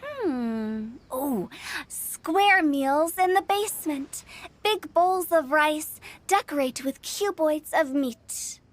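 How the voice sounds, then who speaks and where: A woman's voice: high voice, animated, takes it slowly. A woman voicing a mischievous, brash teenage girl in a video game, theatrical and teasing. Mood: playful. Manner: theatrical, sing-song, cheeky.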